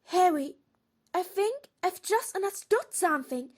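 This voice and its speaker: Woman acting an earnest, very high-pitched schoolgirl. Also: lively intonation, a little slow. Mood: intent. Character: earnest, quick-thinking schoolgirl. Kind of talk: fan audio drama acting.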